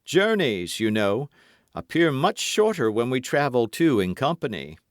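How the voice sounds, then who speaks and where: A man's voice: mid-range voice, very animated, a little slow, no echo, studio quality, voice pushed up. A man reading an audiobook, voicing a boastful, scheming musketeer in a raised, grand, wheedling voice. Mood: mock-melancholy. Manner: grand, wheedling, mock-melancholy.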